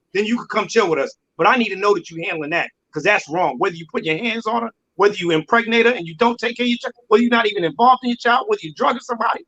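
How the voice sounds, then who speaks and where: Bright, high-voiced emphatic man. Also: dull audio. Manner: emphatic, preachy, repeats phrases. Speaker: podcast panelist. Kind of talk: casual panel discussion.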